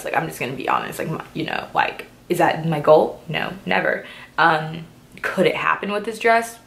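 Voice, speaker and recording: A woman's voice: on the low side, a bit bright. A woman in her twenties chatting casually through a clothing try-on haul, a little stuffy from a cold and full of "like" and "um" fillers. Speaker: fashion vlogger.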